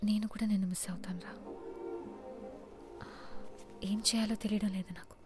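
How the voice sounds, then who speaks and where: A woman's voice: medium pitch, little pitch movement, rapid-fire, music in the background, lightly acted. An actress playing a devoted, strong-willed young woman in love, speaking intimate, naturalistic film dialogue. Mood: sad, hushed. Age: young adult. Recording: film soundtrack with faint ambient noise.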